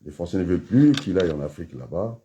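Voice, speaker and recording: Low dark-voiced guy ranting about politics, lots of ums, swings up loud on points. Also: sing-song, fast talker, slight background noise. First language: French. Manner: rambling, hesitant with many fillers, rising into emphatic outbursts. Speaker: political commentator. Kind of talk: political monologue to camera.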